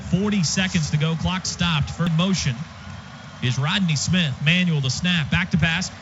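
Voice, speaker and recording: Guy calling football on the radio, high voice, clipped phrases, dull sound, lots of noise behind. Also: moderate pace. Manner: clipped running description. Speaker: play-by-play announcer. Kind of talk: live radio football commentary. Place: stadium radio booth. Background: clear crowd and stadium noise.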